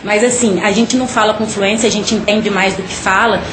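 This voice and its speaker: A woman, answering interview questions in a relaxed, easygoing way. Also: mid-range voice, a little fast, background noise. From Santa Teresa, Espírito Santo, Brazil. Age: 30s. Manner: relaxed, laughs easily. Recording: echoey with background ambience.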